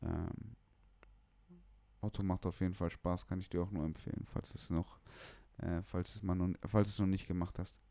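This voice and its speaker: A man's voice: sits low, steady tone, normal speed, gravelly, warm tone. A young man from northern Germany chatting casually about music, slangy, blunt and opinionated. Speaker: music producer, podcast guest.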